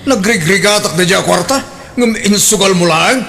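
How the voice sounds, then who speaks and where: A man's voice: high-pitched, moderate pace, creaky, fairly bright tone, slight background noise. A man playing an angry, accusing character in a comedic radio drama, with a Filipino accent. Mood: furious. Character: angry man demanding back his lost money.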